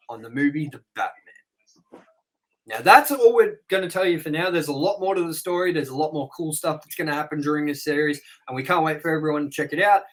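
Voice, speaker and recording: A man hosting a review show, rambling and excitable as he wraps up the episode with plugs. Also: fairly high pitch, poor audio. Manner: rambling and excitable, with sound-effect noises. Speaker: host. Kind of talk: casual episode wrap-up with plugs.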